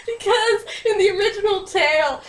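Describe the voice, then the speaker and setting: A woman co-hosting a puppet review with excitable, sarcastic comedic banter. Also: extremely high pitch, moderate pace, crowd noise. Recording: roomy, echoing home recording.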